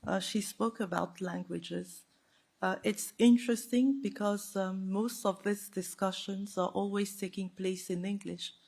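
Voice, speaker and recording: Woman panelist, native French speaker, frank, halting with many ums. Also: medium pitch, steady tone, vocal fry, darkish tone, husky. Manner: frank, hesitant with many fillers. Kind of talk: panel discussion.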